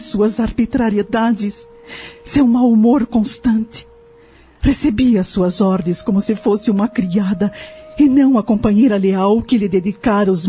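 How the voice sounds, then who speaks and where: A woman's voice: medium pitch, dark tone, a little hiss or hum, natural voice. A woman playing a long-suffering middle-aged wife resolved to break free, speaking formally and solemnly in an audio novel. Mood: bitter. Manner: solemn, formal, literary phrasing. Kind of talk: dramatic audio novel acting. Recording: dull lo-fi audio with music behind. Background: music.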